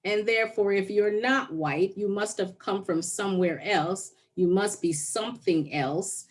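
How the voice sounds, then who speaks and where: A woman's voice: mid-range voice, a little slow. A woman speaking earnestly and with emphasis in a group discussion on a video call. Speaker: participant.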